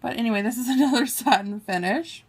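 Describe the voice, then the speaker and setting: A woman's voice: on the high side, fast, breathy edge. A woman vlogger chatting casually through a makeup haul, swatching shades on her arm and apologizing for mispronouncing names. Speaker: beauty vlogger. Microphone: camera on a table, filming her hands.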